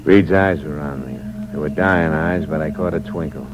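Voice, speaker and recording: A man's voice: very deep voice, normal speed, over music. A man acting a cynical, hard-boiled American drifter who narrates his crime in terse, wry 1940s radio noir style. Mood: brooding. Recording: muffled, noisy old radio recording.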